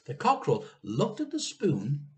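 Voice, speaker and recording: Animated middle-aged man reading a story. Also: a little high, moderate pace. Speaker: teacher reading a storybook aloud. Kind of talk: expressive children's story narration. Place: home living room.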